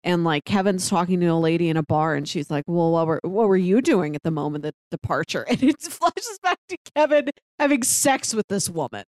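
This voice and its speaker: A woman's voice: fairly low pitch, animated, fairly quick, hardly any pauses, darkish tone, no echo, studio quality. A woman co-hosting a podcast in casual, conversational discussion. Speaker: podcast co-host reviewing a TV series.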